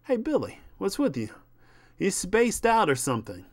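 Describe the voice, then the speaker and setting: A man's voice: sits high, huge pitch swings, moderate pace, darkish tone, voice pushed up, heavily acted. A man voicing an exasperated film agent who pleads and coaxes in a persuasive manner. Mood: exasperated, pleading. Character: coaxing, exasperated male film agent smoothing things over.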